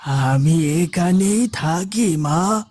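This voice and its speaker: A man playing a gentle, pious old village man in a cartoon, speaking in a devout, earnest manner. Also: a little high, very slow. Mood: mild. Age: older. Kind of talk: cartoon dialogue.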